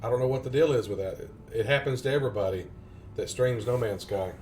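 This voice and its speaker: A man's voice: medium pitch, fast talker, a little mellow, slight background noise. A man with a Tennessee Southern accent, chatting casually and jokingly with viewers during a game live stream. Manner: joking, rambling, chuckles often.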